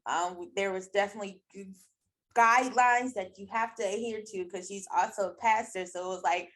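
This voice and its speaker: A woman hosting a casual interview, chatty and relaxed, often repeating words as she goes. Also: medium pitch, normal speed. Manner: chatty, repeats words, laughs while talking. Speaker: host.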